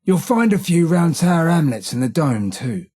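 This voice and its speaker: An actor playing a gruff, streetwise London gang tough in a video game, with a rough gravelly British working-class accent and blunt, slangy, taunting delivery. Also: male voice, a little high, very animated, moderate pace, a little mellow. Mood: gruff, confiding. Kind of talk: video game character voice lines.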